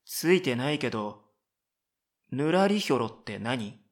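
A man's voice: mid-range voice, sing-song, a little slow. A man voicing a dry-witted, exasperated middle-school boy who narrates and makes matter-of-fact retorts in a comedic radio drama.

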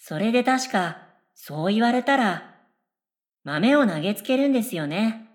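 A woman's voice: a little high, expressive, a little slow. A woman playing an airy, chatty middle school girl in a radio drama, speaking politely and with blithe confidence. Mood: blithely chatty. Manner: polite speech, blithely confident non sequiturs.

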